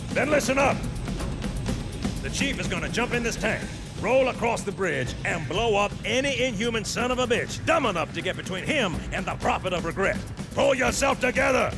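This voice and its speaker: An actor playing a gruff, tough marine sergeant who barks commands at his troops in a video game cinematic. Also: high-pitched, lively intonation, normal speed, music in the background. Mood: commanding. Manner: barking, commanding, salty.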